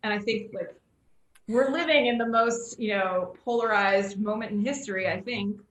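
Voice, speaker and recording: A woman moderating an informal online panel discussion, speaking haltingly as she thinks aloud. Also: medium pitch, fairly bright tone. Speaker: panel moderator on a science committee. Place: indoor room, online video call. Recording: roomy, echoey video call.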